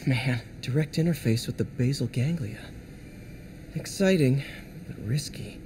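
An actor playing an earnest, loyal young lab assistant, sincere and boyish, in a video game. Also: male voice, mid-range voice, a little slow, background noise, natural voice. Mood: curious, cautious. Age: young adult. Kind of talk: video game cutscene acting.

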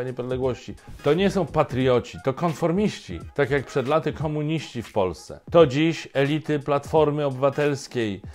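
A man's voice: mid-range voice, darkish tone. A middle-aged man hosting a satirical news show, delivering scripted commentary with ironic, emphatic asides from a home study.

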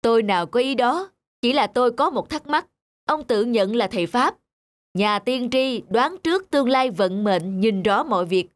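An actor playing a sensible young peasant husband in an animated folk tale, reasoning in a firm, skeptical manner. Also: male voice, very high voice, normal speed, no echo. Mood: challenging.

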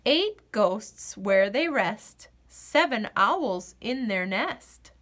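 A woman reading a children's picture book aloud in an expressive, sing-song storyteller's delivery. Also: mid-range voice, drawn-out words.

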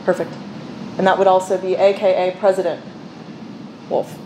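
A woman mayor chairing a village council meeting, moving briskly through the agenda in a casual way with many fillers. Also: on the low side, flat delivery, noisy background.

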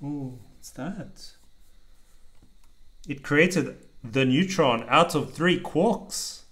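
Male, slightly high voice, parent reading a picture book aloud, deliberate rhyming delivery with casual asides. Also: animated, a bit bright. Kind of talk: read-aloud storybook narration with casual asides.

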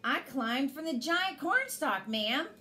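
A woman's voice: high voice, very animated, slow pace, pushed and bright, a little hiss or hum, full character voice. A woman voicing a plucky, hopeful young cowgirl in a storybook, folksy and earnest. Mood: determined.

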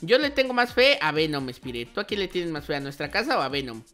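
A man's voice: a little high, very animated, quick pace, bright, forceful tone. A young man doing casual, chatty gaming commentary, playful and talking straight to his viewers. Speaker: host of a gaming channel. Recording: music behind the voice. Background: music.

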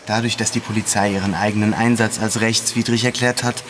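An actor playing a bitter, betrayed activist in an audio drama, blunt and emphatic. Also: male voice, fairly low pitch, little pitch movement, brisk, over music, natural voice. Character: bitter male activist, betrayed by undercover police. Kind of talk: naturalistic audio drama acting.

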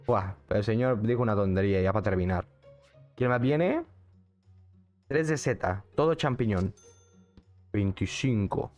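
A man's voice: on the low side, measured pace, long pauses, a little mellow, soft background music. A young man with a Mexican accent, streaming a game and chatting in a casual, jokey way while answering his chat. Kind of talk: casual gaming commentary.

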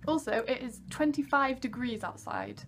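A woman's voice: a little high, wildly swooping pitch, normal speed, a bit bright, a little hiss or hum. A woman in her early twenties from Wales, chatting to camera in her bedroom, giggly and self-deprecating. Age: early 20s. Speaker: vlogger. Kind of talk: casual chat to camera. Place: bedroom, indoors. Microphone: camera at arm's length.

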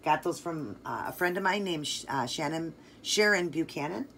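A woman's voice: low-pitched, lively intonation, normal speed, creaky, a bit bright, a little hiss or hum. A middle-aged woman hosting a nail art tutorial, chatting eagerly through each step and gushing about colors. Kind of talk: casual step-by-step tutorial narration.

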